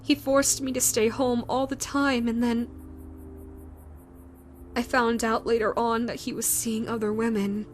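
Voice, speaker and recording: Woman playing a scarred ghost yokai woman, high voice, slow and halting, stammers, faint music behind. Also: little pitch movement, natural voice. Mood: bitter despair. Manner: halting, stammering, repeats words. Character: scarred grieving female yokai ghost, self-loathing. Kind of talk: audio roleplay to the listener.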